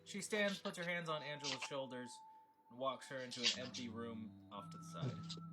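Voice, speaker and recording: A man's voice: mid-range voice, moderate pace, bright, forceful tone. A man reading scene headings and stage directions as the narrator of a film script table read. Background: clear background music.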